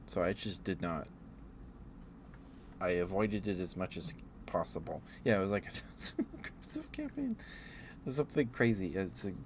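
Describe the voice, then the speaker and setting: A man streaming ASMR, chatting in a halting, rambling way through casual personal stories. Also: low voice, darkish tone, a little hiss or hum.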